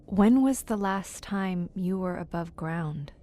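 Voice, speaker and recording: A woman's voice: on the low side, slow, mellow. An actress playing an inquisitive, earnest young woman visitor in an audio horror drama, with proper, careful phrasing. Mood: curious, concerned.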